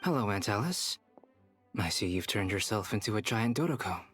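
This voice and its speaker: A man voicing a reserved young alchemist in a video game, calm, polite and measured. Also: fairly low pitch, faint music behind.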